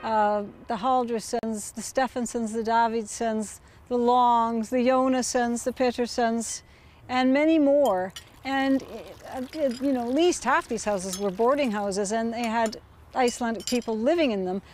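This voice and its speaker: A woman explaining local history in a measured, informative way, with pauses, outdoors on a street. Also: fairly high pitch, darkish tone, slight background noise. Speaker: interviewee. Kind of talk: casual tour-guide explanation.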